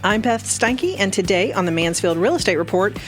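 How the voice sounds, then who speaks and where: A woman's voice: mid-range voice, expressive, a little fast, faint music behind. A woman presenting a scripted segment introduction.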